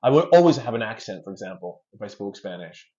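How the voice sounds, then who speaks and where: A man from Canada chats as an interview guest, his low voice lively and full of quick restarts. The sound is dull and lo-fi, like a remote call. He tells his stories loosely and casually. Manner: animated, repeats words in quick restarts. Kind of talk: casual chat, storytelling.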